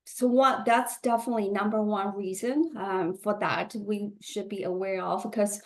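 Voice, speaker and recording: A woman taking part as a guest coach on a video call, speaking slowly and haltingly, with fillers and light laughs. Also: medium pitch, steady tone, rough recording. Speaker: money mindset coach. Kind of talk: guest interview. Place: Vancouver, Canada.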